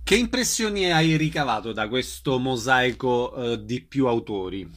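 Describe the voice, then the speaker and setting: A man speaks at an average pitch as an interviewer. His questions are measured and formally worded. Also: lively intonation, fairly bright tone.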